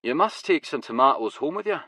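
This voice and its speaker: Man voicing a Glasgow grower, raspy, high, eager, proud, dry close sound. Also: lively intonation, a little fast, sharp tone, no echo. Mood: grim. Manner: eager, wild-eyed, proud. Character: wiry older Glasgow man, excitable cannabis grower.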